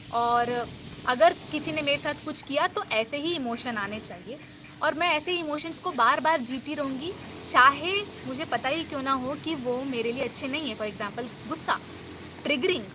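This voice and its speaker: A woman's voice: high voice, noisy background. A woman life coach giving an earnest, reassuring self-help talk, mixing English words into her Hindi.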